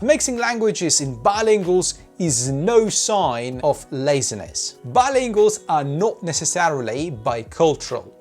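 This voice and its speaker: A man, explaining to camera in a home studio with animated emphasis. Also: on the high side. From Cyprus. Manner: animated, gesturing, rising emphasis on key words. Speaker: educational YouTuber. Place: small decorated home studio, indoors.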